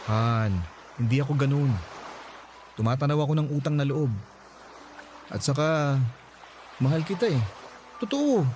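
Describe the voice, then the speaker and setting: A man's voice: a little high, expressive, measured pace, pauses often, a little mellow, a little hiss or hum. A man playing a guilty, affectionate husband in a Filipino radio drama, hesitant, stammering and pleading. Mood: earnest, affectionate.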